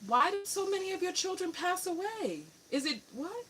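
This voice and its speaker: A woman's voice: extremely high pitch, lively intonation, moderate pace, fairly bright tone, slight background noise. A woman from New York City with a New York accent, hosting a live coaching call and pressing a caller with firm questions. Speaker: radio show host and relationship coach.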